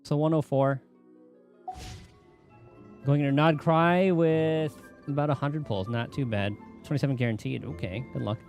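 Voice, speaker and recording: A man giving casual live commentary as he streams a game, thinking aloud in a halting way. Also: medium pitch, fairly even intonation, creaky, darkish tone, soft background music.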